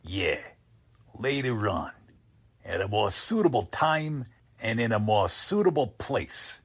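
A man's voice: lowish voice, sing-song, slow, breathy edge, heavily acted. A man playing a suave, sardonic, coldly threatening con artist who poses as a count, in a radio drama. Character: menacing male con artist posing as a Hungarian count.

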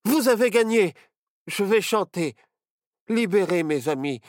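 Man putting on a high-pitched, excitable young child. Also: normal speed, no echo, clean studio sound, lightly acted. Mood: desperate pleading. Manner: excitable, exclaiming. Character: brave, earnest young boy and his anxious child friends. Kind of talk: children's storybook character.

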